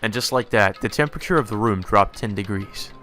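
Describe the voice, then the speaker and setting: A man's voice: lowish voice, brisk, pushed and bright. A man giving casual, sweary, offhand commentary while playing a visual novel. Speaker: let's play host. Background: faint game music.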